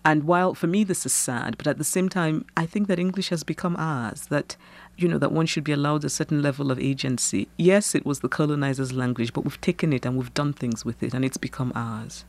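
A Nigerian woman novelist speaks in a measured, thoughtful voice. She reads her own fiction aloud and talks through questions with an easy laugh. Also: low-pitched, a little mellow. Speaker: novelist, guest. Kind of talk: reading her fiction aloud, then interview answers.

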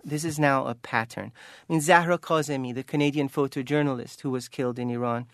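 A man's voice: mid-range voice, steady tone, brisk, fairly bright tone, no echo, studio quality. An Iranian-American man, an author, speaking earnestly and passionately in an interview, often restarting and saying "you know.". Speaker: author and guest. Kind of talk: impassioned interview talk.

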